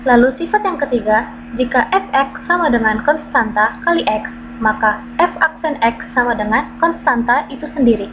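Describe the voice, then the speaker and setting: A woman reading through a math lesson, stating formulas and worked examples in a steady, methodical, step-by-step way. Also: a little high, moderate pace. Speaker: math tutor. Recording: dull lo-fi recording with clear background hum.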